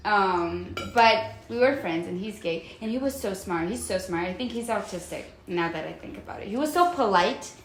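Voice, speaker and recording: A young woman from Brazil with a Brazilian accent, a native Portuguese speaker, chatting casually as a podcast co-host in an animated, giggly way. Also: medium pitch, moderate pace, hardly any pauses. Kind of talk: casual chat between friends. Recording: roomy echo with faint background noise.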